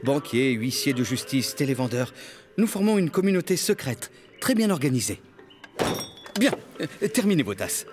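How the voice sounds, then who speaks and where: An actor playing an eccentric, polite middle-aged ex-banker turned forest hermit in a cartoon, speaking formally and sententiously. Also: male voice, medium pitch, sing-song, normal speed, noisy background, lightly acted. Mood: courteous. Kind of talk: animated series dialogue. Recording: music behind the voice.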